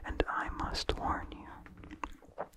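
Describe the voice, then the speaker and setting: Slow, fully whispered ASMR storyteller. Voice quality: breathy, fully unvoiced whisper. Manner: slow, measured, deliberate phrasing. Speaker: narrator reading a story aloud. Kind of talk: whispered ASMR reading of a vintage horror story. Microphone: close microphone with incidental mouth sounds.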